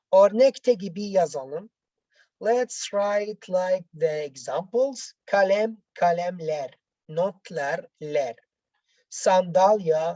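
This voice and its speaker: Casting: female language teacher, non-native English speaker, unhurried and halting, soft-edged mellow tone, walking learners through exercises. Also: lowish voice, dry recording, muffled recording. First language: not English. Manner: halting, repetitive, explaining step by step. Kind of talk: bilingual lesson, reading out exercises and explaining answers.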